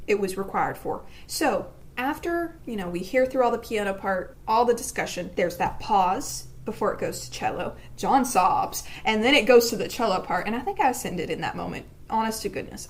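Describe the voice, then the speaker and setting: A woman leaving a casual, emphatic fan commentary as a listener voice message. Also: medium pitch. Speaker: listener sending in a voice submission.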